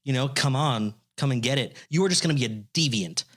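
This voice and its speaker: A man's voice: fast, fairly bright tone. Teasing young man laughing through podcast banter. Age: 20s. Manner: teasing, breaks into high laughter. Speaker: podcast co-host.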